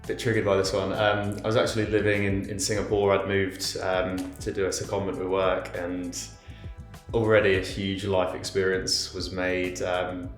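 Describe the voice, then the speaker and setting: A man in his thirties from London, speaking hesitantly with many pauses and ums. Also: low-pitched, monotone. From London, England. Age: 30s. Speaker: interviewee. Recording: roomy echo, faint guitar music.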